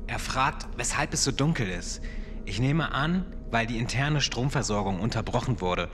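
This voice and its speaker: A man's voice: medium pitch, animated, moderate pace, noisy background, close to an everyday voice. A man playing a bold, courteous starship captain in a theatrical German audio drama. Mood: questioning, composed.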